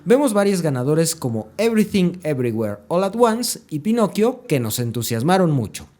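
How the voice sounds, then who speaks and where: A Mexican man hosting a podcast, explaining conversationally and haltingly, often tagging his phrases with a questioning word. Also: a little high, big pitch swings, mellow. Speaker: podcast host. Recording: echoey room, clear background noise, hum.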